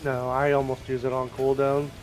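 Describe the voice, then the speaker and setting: A man's voice: mid-range voice, monotone, normal speed, a crowd in the background, poor audio. A man talking casually and jokingly with teammates during an online game. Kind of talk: casual gaming chat. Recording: game music behind the voice.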